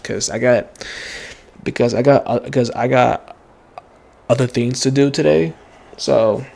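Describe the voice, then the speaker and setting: A man's voice: mid-range voice, measured pace, vocal fry, darkish tone. A man in his late teens doing casual, rambling game playthrough commentary, often repeating himself and hesitating. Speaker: gaming streamer.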